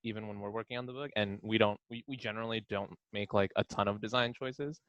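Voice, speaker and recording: A man's voice: on the low side, steady tone, quick pace, dry recording, muffled recording. A man chatting casually on a Q&A panel and reading answers aloud as an editor.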